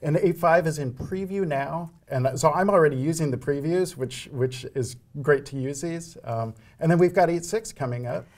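A man in his forties hosting a tech conversation on a studio stage, relaxed and agreeable, often saying "yeah" and sharing his own developer experience. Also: fairly high pitch, moderate pace, few pauses. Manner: affable, agreeing, frequent "yeah" and "mm-hmm" backchannels. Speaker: show host and developer. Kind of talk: casual tech interview chat. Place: bright studio stage with screen behind.

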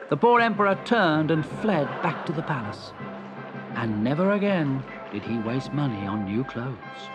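A woman's voice: low-pitched, very animated, moderate pace, over loud music, rough recording. A woman reading a scripted children's story as narrator, with theatrical, dramatic delivery.

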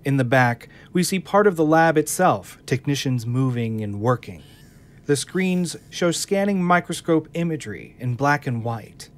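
A man narrating the scene descriptions of an audio drama in a plain, even reading. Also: medium pitch, measured pace. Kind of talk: scripted narration of stage directions.

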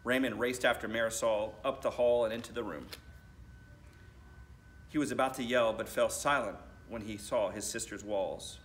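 An American man, a school principal in his thirties, reading a storybook aloud to children with deliberate phrasing and addressing them directly. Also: medium pitch, steady tone, a bit bright. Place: school library, indoors.

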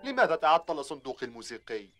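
A woman voicing a gentle, sad male cartoon friend who sighs and mourns a lost treasure. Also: deep voice, sing-song, over loud music. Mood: wistful. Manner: mournful, sighing, quick.

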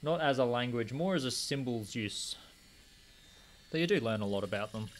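Brief Young adult man, mid-pitched voice. Casual live-stream host who rambles and answers viewer comments as he works at a small home desk. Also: animated, moderate pace. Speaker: stream host polishing gemstones. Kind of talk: casual live-stream chat while working. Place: small home desk corner with a rotary tool running.

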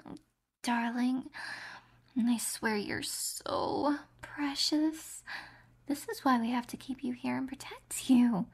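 A woman voicing two doting, possessive young female captors in a whispered audio roleplay. She speaks in a soft, cooing whisper full of pet names, with a husky voice. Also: high-pitched, takes it slowly, exaggerated voice.

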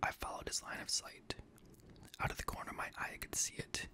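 A young man reading scary stories in a hushed, breathy ASMR whisper, gently, close to a studio microphone. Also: brisk. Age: young adult. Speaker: host and reader of scary stories. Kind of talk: whispered ASMR horror story narration. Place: small indoor home studio room. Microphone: close boom-arm studio microphone.

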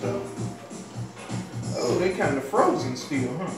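A man's voice: on the high side, sing-song, slow pace. A middle-aged man co-hosting a home cooking video, chatty and teasing. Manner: laid-back, chatty, teasing. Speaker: cooking show co-host. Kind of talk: casual home cooking chat. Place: home kitchen, indoors. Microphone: far camera. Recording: echoey kitchen, far mic, music behind.

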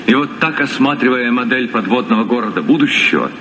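Actor as expressive, dignified storytelling captain. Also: male voice, medium pitch, fairly quick, natural voice. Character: dignified, well-spoken storytelling sea captain. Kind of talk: Soviet radio play acting. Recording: noisy old radio recording.

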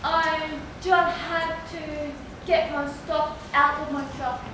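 A woman's voice: sits high, flat delivery, slow pace, far from the mic, background noise. A woman acting an apologetic, eager worker in an amateur stage play. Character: apologetic, eager truck driver and shop helper. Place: indoor theatre stage before an audience. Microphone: distant camera in the audience. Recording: echoey theatre stage recorded from afar.

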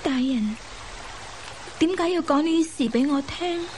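A gentle young courtesan of old China in a radio play. Her voice is high and soft-edged. Faint trickling noise sits behind her. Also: measured pace. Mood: soft, puzzled. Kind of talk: Cantonese radio drama acting.

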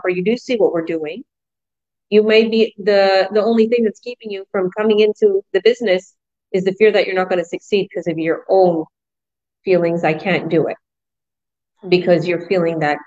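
A woman hosting an online business talk over a video call, pitching with enthusiastic, repetitive sales energy. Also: darkish tone. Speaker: host. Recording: muffled video call audio.

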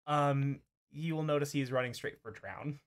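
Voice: medium pitch, fairly even intonation, normal speed. A game master casually narrating an online roleplay over a voice call, in an informal, hesitant way.

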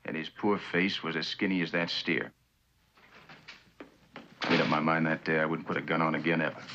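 Deep-voiced, proud, weary veteran. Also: male voice, steady tone, fairly quick, background noise. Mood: haunted, regretful. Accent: American. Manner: terse, wry, guarded. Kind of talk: mid-century American western drama acting. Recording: dull, lo-fi old drama soundtrack.

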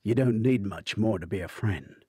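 A man's voice: on the low side, moderate pace, dark tone, husky, dry recording, clean studio sound. A man voicing a stubborn, earnest tech colleague in an audiobook, defensive and matter-of-fact.

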